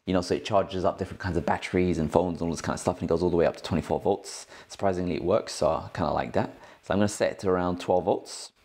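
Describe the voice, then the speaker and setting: A man's voice: fairly low pitch, darkish tone, slight background noise. A man hosting a tech tutorial in a casual, informal, slangy way, explaining things step by step. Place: indoors at a cluttered work desk.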